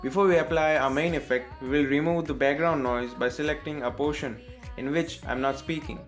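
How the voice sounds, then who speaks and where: Indian guy doing a how-to tutorial, slightly high voice, faint music behind him. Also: steady tone, fairly quick. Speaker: tutorial host. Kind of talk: scripted software tutorial narration.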